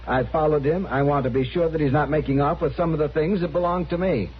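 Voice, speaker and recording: An actor playing an officious, demanding gentleman with a prim, insistent manner in an old-time radio western. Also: a little high, fairly even intonation, fast, lightly acted. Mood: officious, impatient. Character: officious, demanding, self-assured brother-in-law, adult man. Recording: muffled, noisy old radio recording.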